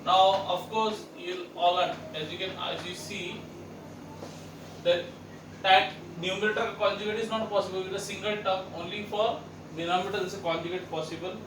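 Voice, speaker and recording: A man's voice: high-pitched, steady tone, moderate pace, far from the mic. A man teaching a math lesson, walking students through each step with repeated questions. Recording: echoey room, voice set back from the mic, background noise.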